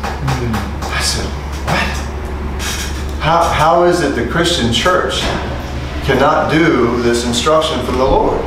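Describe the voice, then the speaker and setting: A middle-aged man preaching an interactive sermon to a small group, animated and asking the room for amens. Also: medium pitch, slow pace, long pauses, very echoey, recorded from a distance, background noise. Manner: animated, calls for amens, asks the room questions. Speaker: preacher teaching street preachers. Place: small indoor meeting room. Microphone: lapel mic.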